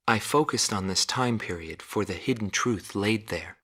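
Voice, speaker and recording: An actor playing a reflective journalist narrating a war documentary in a measured, solemn way. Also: male voice, lowish voice, fairly bright tone, slightly rough.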